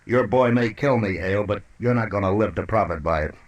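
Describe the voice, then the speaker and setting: An actor playing a tough, coolly menacing western marshal in an old-time radio drama, laconic, sardonic and commanding. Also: male voice, on the low side, a little fast, lightly acted.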